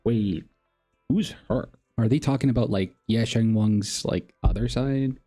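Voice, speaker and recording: A man's voice: fairly low pitch, unhurried, mellow, faint music behind. A man live-streaming a game, commenting casually and reading game text aloud.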